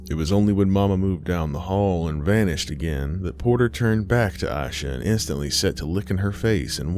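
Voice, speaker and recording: A man's voice: deep voice, a little mellow. A man narrating a scripted Southern Gothic horror story in a folksy storytelling manner. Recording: faint music underneath. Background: faint music.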